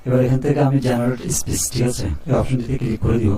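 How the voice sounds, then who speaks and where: A man hosting a step-by-step software tutorial. He keeps checking in with the viewer as he explains each click. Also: mid-range voice, rapid-fire, reverberant, distant mic. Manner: steady instructional, frequent "ঠিক আছে?" check-ins. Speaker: tutorial host. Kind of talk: screen-recorded software tutorial.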